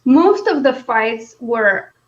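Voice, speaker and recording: A woman's voice: a little high, expressive, slow, muffled recording. A woman hosting a casual book chat, speaking in a wry, informal way. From Romania. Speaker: book discussion host.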